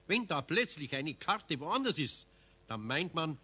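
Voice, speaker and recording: Husky, folksy Bavarian master craftsman. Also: on the high side, very animated, a little slow, bright, forceful tone, full character voice. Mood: firm, indulgent. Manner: folksy, admonishing. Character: kindly but firm male master craftsman, indulgent guardian. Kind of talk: 1970s children's radio play acting.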